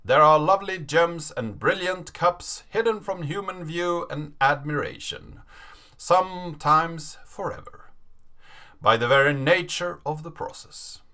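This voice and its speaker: A man in his thirties giving a dramatic reading of a story, measured and with deliberate pauses. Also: on the high side, pushed and bright. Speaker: presenter reading a story aloud. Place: small indoor room, seated at a desk.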